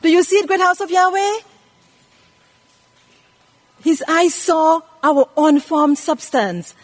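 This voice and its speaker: A woman's voice: extremely high pitch, slow. A woman in her eighties preaching a scripture lesson, emphatically repeating phrases and putting questions to her listeners. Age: 80s. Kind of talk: sermon teaching from scripture.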